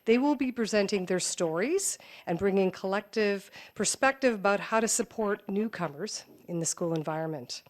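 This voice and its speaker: A woman's voice: mid-range voice, expressive, moderate pace, few pauses. A woman school board director introducing students at a meeting, with frequent hesitant fillers. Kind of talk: formal introduction.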